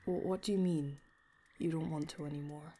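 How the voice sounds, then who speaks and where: A woman playing a concerned, soft-hearted adventurer in an audio drama, with an earnest, questioning manner. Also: sits low, fairly even intonation, normal speed, husky. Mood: surprised. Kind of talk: audio roleplay drama acting.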